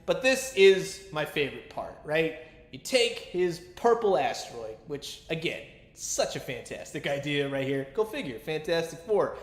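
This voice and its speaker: High-voiced man in an echoey room. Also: big pitch swings, measured pace. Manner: chatty, enthusiastic fan talk with colloquial asides. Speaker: toy collector and reviewer. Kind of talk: casual action figure review commentary.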